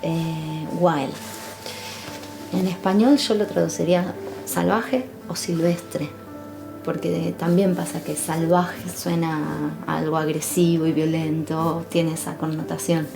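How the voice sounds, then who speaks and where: A woman's voice: low-pitched, steady tone, measured pace, vocal fry. A woman explaining in an unscripted interview. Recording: music behind the voice.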